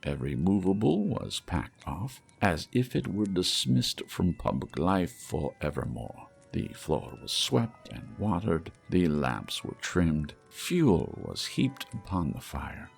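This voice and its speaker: Brief: male audiobook narrator, unhurried and lively in pitch, gravelly texture. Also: soft background music. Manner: measured, expressive storytelling. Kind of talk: storybook reading.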